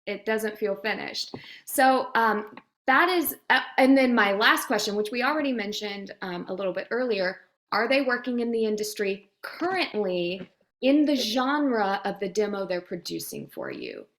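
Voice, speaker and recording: A woman's voice: mid-range voice, very animated, moderate pace, a bit bright. A woman guest speaking as a voice demo producer, giving casual advice in an interview chat and acting out imagined reactions.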